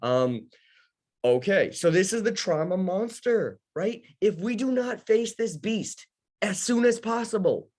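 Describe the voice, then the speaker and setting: A man's voice: high-pitched, lively intonation, measured pace, a little mellow. A young man from Ukraine teaching a casual live lesson for beginner investors, talking straight to his audience. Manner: casual, talks to the audience, many fillers and restarts. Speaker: host of an investing workshop.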